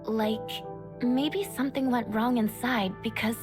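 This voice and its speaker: A woman voicing a calm, dependable young female guardian in a video game, plainspoken, with music in the background. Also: on the high side, unhurried, natural voice. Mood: questioning. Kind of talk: scripted game dialogue.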